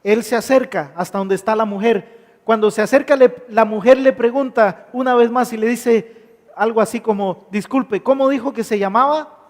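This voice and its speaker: A man's voice: very high-pitched, normal speed. A man preaching a sermon, emphatic, stacking repeated phrases. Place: church hall. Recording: long hall reverb.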